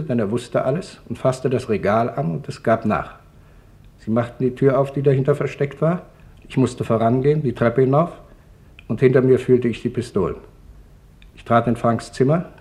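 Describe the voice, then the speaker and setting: A man's voice: medium pitch, a little mellow, close to an everyday voice. An actor playing an office manager who gives a grave, orderly account of his wartime arrest in a radio drama. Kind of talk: German radio drama witness monologue.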